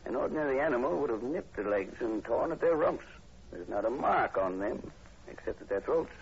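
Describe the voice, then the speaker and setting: An actor playing a calm, analytical professor with a measured, polite manner in a 1940s radio horror drama. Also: male voice, mid-range voice, natural voice. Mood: grave. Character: calm, analytical male professor investigating strange killings. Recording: muffled, noisy 1940s radio recording.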